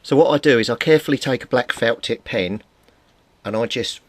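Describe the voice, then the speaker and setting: A man's voice: mid-range voice, a little fast, dry recording. A man giving an informal hands-on repair tutorial, explaining methodically step by step. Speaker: presenter of a hobby repair tutorial.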